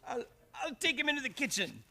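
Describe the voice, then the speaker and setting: Goofy eager policeman, gabby, high and animated, old radio comedy. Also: a little fast, exaggerated voice. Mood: excited. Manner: gushing, colloquial tough-cop diction. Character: dim, eager policeman and would-be playwright, chatty and excitable. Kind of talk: live old-time radio comedy acting.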